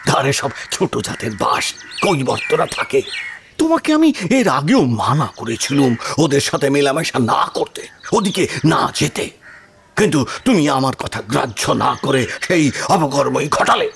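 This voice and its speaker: A man's voice: mid-range voice, very animated, measured pace, creaky, fairly bright tone, a little hiss or hum, full character voice. A man playing a stern, hot-tempered, haughty landlord in an audio horror drama, scolding with imperious scorn. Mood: contemptuous anger.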